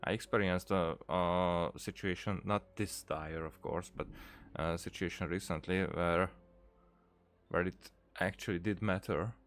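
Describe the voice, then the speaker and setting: A man giving casual let's play commentary, musing reflectively and haltingly, often repeating his words. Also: low-pitched, fairly even intonation, fairly bright tone. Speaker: game streamer. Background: faint game music.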